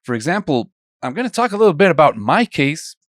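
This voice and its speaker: Lively Colombian man teaching songwriting. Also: fairly high pitch, a little fast, dry recording, studio quality. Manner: conversational, asks students many questions. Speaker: songwriting instructor. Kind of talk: online video lesson.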